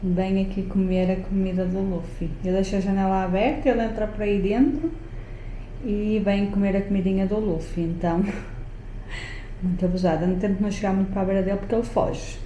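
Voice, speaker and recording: A woman's voice: medium pitch, fairly even intonation, a little fast, mellow, echoey room, highs cut off, a little hiss or hum. A middle-aged woman with a European Portuguese accent chatting to her viewers as she cooks in her home kitchen, often stopping to correct herself. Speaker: lifestyle vlogger. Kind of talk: casual cooking vlog chat.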